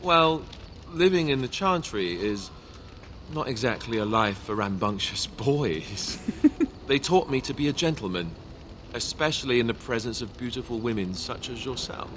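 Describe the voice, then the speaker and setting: An actor playing a young, gallant warrior companion in a fantasy video game, teasing and bashful with a wry mock-innocent delivery. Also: male voice, medium pitch, lively intonation, moderate pace, a bit bright, a little hiss or hum, lightly acted. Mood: bashful candour.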